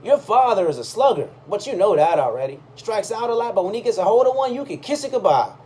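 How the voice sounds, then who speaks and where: A man voicing a chatty, nosy older male shopkeeper in a told story, brisk and eager. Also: high voice, big pitch swings, a little mellow, voice pushed up, full character voice. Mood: admiring. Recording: clearly audible background noise.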